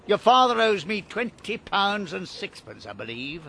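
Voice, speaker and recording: A man's voice: high voice, sing-song, normal speed, gravelly, a bit bright, exaggerated voice. An actor playing a cold, miserly old businessman in a radio drama, curt and stern. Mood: stern, demanding.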